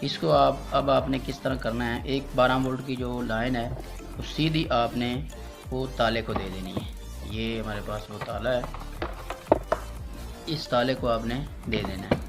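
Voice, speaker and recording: Male tutorial voice over prominent music. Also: mid-range voice. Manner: repetitive, instructive, addressing viewers as friends. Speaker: electrician giving a tutorial. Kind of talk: casual step-by-step how-to demonstration. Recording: loud music behind the voice.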